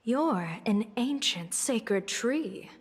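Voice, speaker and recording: Female, composed fantasy game strategist: very unhurried, philosophical, probing, reverberant space. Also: on the high side, lively intonation, slightly rough, exaggerated voice. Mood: calm, coaxing. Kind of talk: video game story voice acting. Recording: long reverb, faint music behind.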